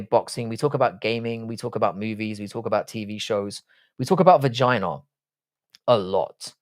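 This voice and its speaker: A man in his forties with a South London accent, hosting a casual live stream, rambling, swearing freely and answering viewers' chat. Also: medium pitch. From South London, England. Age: 40s.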